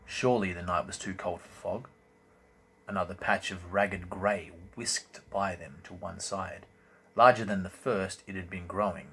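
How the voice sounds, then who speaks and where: Male fantasy audiobook reader, deep register, theatrical and deliberate delivery, amateur recording with slight background noise. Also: vocal fry, fairly bright tone, low-quality recording. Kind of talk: dramatic scripted narration of a fantasy novel.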